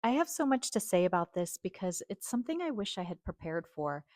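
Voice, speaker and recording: A woman in her forties whose first language is Chinese, hosting a reflective personal monologue in a calm, earnest, measured way. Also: medium pitch. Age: 40s. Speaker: host.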